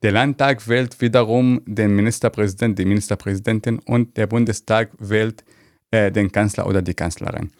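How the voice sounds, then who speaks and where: A man's voice: on the low side, fairly even intonation, brisk, a little mellow, no echo, clean studio sound. A man answering interview questions as a civic education officer, explaining step by step with lots of examples. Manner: explaining step by step, many "also" and "zum Beispiel".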